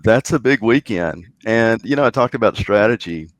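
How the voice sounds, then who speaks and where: A man's voice: fairly low pitch, animated, normal speed, no room sound, dull audio. A man guest on a podcast, talking casually with many fillers and restarts. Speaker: guest, contest organizer.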